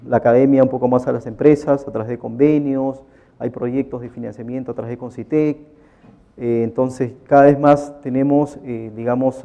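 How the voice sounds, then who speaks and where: A man giving a technical lecture, often pausing on "eh" and ending phrases with "¿no?". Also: mid-range voice, vocal fry, dark tone, lo-fi sound. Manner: frequent "eh" and "¿no?" tags.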